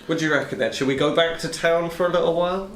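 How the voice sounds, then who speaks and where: A man's voice: on the high side, quick pace, a bit bright. Man chatting in an echoey room. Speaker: tabletop game player. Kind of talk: casual in-game chat. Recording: echoey room, faint noise.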